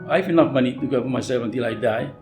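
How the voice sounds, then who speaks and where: A man's voice: medium pitch, very fast. 60s sea captain guy, plain casual talk, echoey room. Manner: plain-spoken, non-native grammar, tag questions. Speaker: ship captain and harbour pilot. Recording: roomy sound with soft background music.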